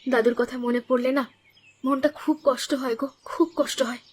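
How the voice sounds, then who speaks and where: Woman playing a high-voiced devoted village girl. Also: fairly even intonation, fairly quick, husky. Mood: gentle, earnest. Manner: emotional, heartfelt. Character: devoted, kind-hearted young village granddaughter. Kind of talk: cartoon dialogue acting.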